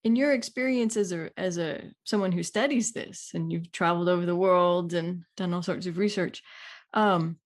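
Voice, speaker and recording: A woman's voice: lowish voice, normal speed. A woman hosting an interview, asking friendly, slightly hesitant questions. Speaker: podcast host.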